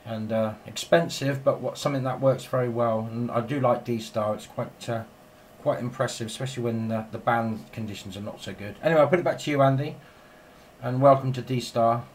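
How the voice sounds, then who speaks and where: A man's voice: medium pitch, steady tone, fairly quick, slight background noise. A man chatting casually and in a rambling way as a radio operator filming in his home shack. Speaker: amateur radio operator and video maker.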